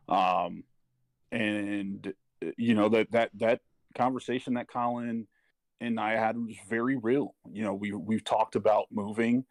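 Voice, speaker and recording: Gravelly, halting, earnest man. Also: on the low side, dry recording. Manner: halting, earnest, searching for words. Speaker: parent speaking as a panel guest. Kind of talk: personal testimony in casual talk.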